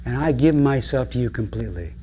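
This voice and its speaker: Man as a calm, solemn big billy goat. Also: mid-range voice, brisk, noisy background, natural voice. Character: large billy goat, calm, grave, selflessly yielding. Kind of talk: storytelling.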